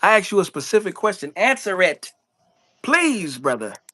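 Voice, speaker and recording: A man's voice: high-pitched, big pitch swings, moderate pace, sharp tone, dry recording. A man co-hosting a casual podcast chat, teasing and laughing often as he reads out audience questions. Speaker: co-host.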